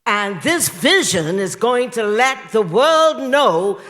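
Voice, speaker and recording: A man giving an award acceptance speech in a slow, emphatic, rousing way. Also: very high voice, big pitch swings, sharp tone. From Bahamas. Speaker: award recipient, media entrepreneur.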